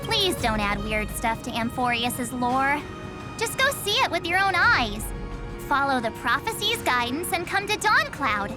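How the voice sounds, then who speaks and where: A woman's voice: high-pitched, sing-song, a little slow. A woman voicing a theatrical, girlish young woman, a playful goddess figure in a video game. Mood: cheerful, casual. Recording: loud music under the voice.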